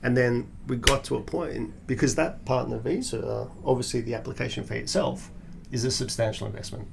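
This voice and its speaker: A man from Australia, a guest on an interview podcast, explaining his background in a measured way with frequent restarts. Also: medium pitch, steady tone, vocal fry, noisy background.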